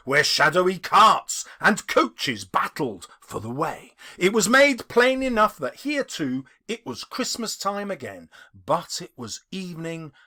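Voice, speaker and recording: A man narrating a classic story dramatically, with theatrical and deliberate phrasing. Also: high-pitched, bright, forceful tone. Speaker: audiobook narrator and English teacher.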